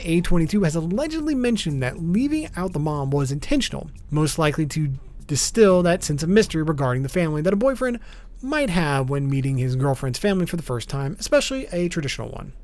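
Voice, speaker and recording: Higher-voiced, animated man narrating an explainer. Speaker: video host. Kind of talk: scripted explainer narration with casual asides. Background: background music.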